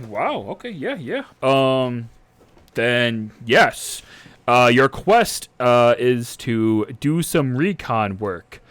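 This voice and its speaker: A man as a halting, nervous militia captain. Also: medium pitch, a bit bright, clear, resonant tone, no room sound. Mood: flustered. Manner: halting, stammering, hesitant. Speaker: game master of a tabletop game. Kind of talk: improvised in-character roleplay.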